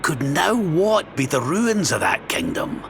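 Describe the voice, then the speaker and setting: A man's voice: fairly high pitch, sing-song, measured pace, background noise. A man playing a wry, practical adventurer in a video game, musing. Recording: game music behind the voice.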